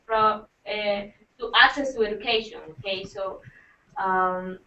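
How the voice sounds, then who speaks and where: A woman's voice: medium pitch, a little slow, bright, forceful tone. An eighteen-year-old woman with a Salvadoran accent, a native Spanish speaker, introducing herself informally on a video call. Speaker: youth ambassador panelist. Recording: dull, roomy, distant video call sound.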